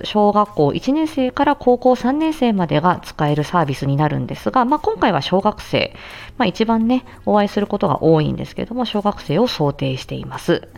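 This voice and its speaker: A woman's voice: medium pitch, creaky, a little mellow. A woman giving a casual explanatory talk as an educational host, going step by step through examples in a conversational way. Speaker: speech-language therapist hosting an educational audio show.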